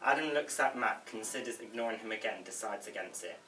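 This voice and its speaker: Casting: male script narrator reading stage directions, crisp forceful tone, roomy and slightly distant sound. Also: on the low side, brisk. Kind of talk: scripted narration at a table read. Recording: echoey room, off-mic, faint noise.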